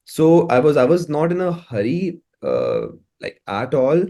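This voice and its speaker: A man's voice: on the high side, wildly swooping pitch, a little slow, dark tone. A man with an Indian accent chatting casually as an interview guest, informal and often repeating and restarting his phrases. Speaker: interview guest, musician and music producer.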